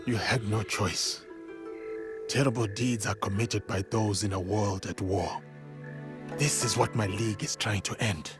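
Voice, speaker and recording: An actor playing a calm, principled warrior in a game drama, speaking in a measured, formal and deliberate way. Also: male voice, fairly low pitch, over music, close to an everyday voice. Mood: gentle, solemn. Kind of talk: dramatic game cutscene acting.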